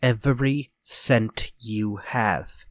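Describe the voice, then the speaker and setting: An actor playing a sinister, vengeful ex-convict murderer in retro radio drama style, speaking in a slow, theatrical, menacing way. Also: male voice, lowish voice, no room sound, clean studio sound. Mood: threatening, demanding.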